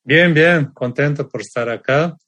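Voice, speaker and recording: A man's voice: a little high, measured pace, a bit bright, no echo. Man, careful and modest. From Mozambique. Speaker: podcast guest, coach.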